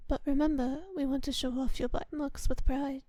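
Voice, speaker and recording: A woman playing a kind, doting vampire mistress in an intimate ASMR roleplay, speaking softly and often whispering in refined, unhurried phrases. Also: sits high, level tone, dry recording, clean studio sound, lightly acted. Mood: tender, satisfied. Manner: soft, breathy, often whispered, unhurried endearments; refined, formal diction. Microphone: close microphone in a dry space.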